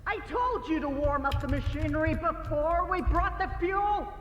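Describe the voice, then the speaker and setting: A man's voice: very high-pitched, normal speed, fairly bright tone, a little hiss or hum. A man playing an angry, impatient pony factory boss who barks and scolds in a dramatized story. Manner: barking, scolding, scornful. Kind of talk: dramatized fan fiction reading. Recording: long echoing hall, faint music behind.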